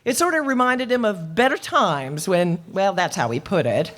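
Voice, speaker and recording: A woman playing a flustered, soft-hearted but stubborn older landlady in a radio play, rambling, hesitant and defensive. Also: medium pitch, animated, moderate pace. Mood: sympathetic. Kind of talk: live old-time radio play acting.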